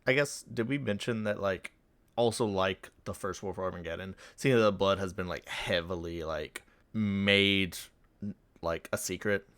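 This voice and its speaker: A man's voice: low voice, normal speed, a bit bright, dry recording. A man co-hosting a podcast, explaining and narrating fictional lore. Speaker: podcast co-host.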